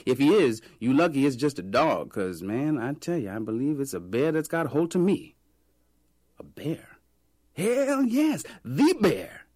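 A man voicing a brash, shrewd street peddler with a loud, teasing, drawling bluster. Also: mid-range voice, very animated, measured pace, dry recording, clean studio sound, voice pushed up. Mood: joking.

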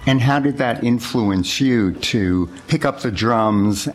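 A man hosting a radio interview, asking measured, clear questions. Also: medium pitch. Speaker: radio host.

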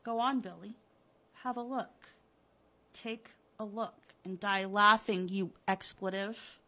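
A woman's voice: medium pitch, steady tone, takes it slowly, bright, forceful tone. A woman voicing a scheming, henpecked husband from a horror comic, with a stammering, coaxing manner that has a taunting edge. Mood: taunting fury. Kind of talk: dramatic comic-book reading.

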